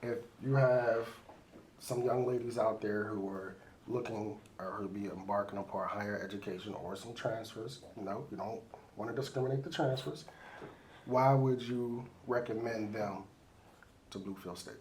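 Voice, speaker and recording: A man's voice: lowish voice, fairly even intonation, moderate pace, darkish tone, slight background noise. A man hosting a casual sports interview, chatty and loose, with lots of fillers. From Pittsburgh. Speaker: sports show host.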